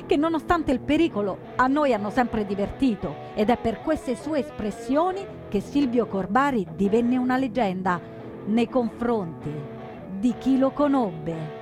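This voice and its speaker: An actress playing a proud young woman partisan in her twenties, telling her story in measured, earnest theatrical narration. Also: on the high side, expressive. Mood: passionate. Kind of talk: scripted theatrical first-person narration. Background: plucked guitar music.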